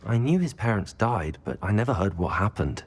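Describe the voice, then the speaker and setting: A man playing a calm, sympathetic, fair-minded student wizard in a video game, measured and considerate. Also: lowish voice. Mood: concerned. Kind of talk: video game cutscene acting.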